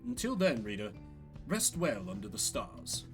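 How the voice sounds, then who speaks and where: A man's voice: medium pitch, huge pitch swings, music in the background, pitched below the performer's own voice. A man voicing a solemn, courtly minstrel guide in a fantasy game, speaking in formal, old-fashioned phrases. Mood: solemn, approving. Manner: formal, measured, archaic phrasing.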